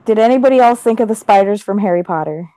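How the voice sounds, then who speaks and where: A woman's voice: mid-range voice, expressive, fast talker, mellow, rough recording. A woman chatting casually in an online book club, putting questions to the group in an informal way.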